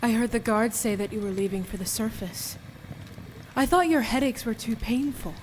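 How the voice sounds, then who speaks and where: A woman's voice: fairly high pitch, normal speed, a little hiss or hum, close to an everyday voice. A woman acting a defiant, wounded young captive in a radio play, with a raw, emotional delivery. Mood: bitter, probing. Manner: emotional, accusing, pleading.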